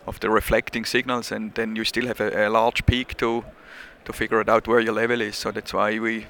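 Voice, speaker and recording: A man's voice: on the low side, level tone, brisk, fairly bright tone, a little hiss or hum. A man with a Swiss accent, not a native English speaker, being interviewed about his product at a trade show and talking in a hesitant way, with many fillers and restarts. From Switzerland. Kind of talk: casual interview.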